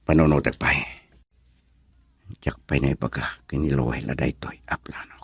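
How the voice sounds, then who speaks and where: An actor playing a reluctant, brooding young husband in an Ilocano radio drama, with a Filipino accent. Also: male voice, very deep voice, fairly even intonation, moderate pace.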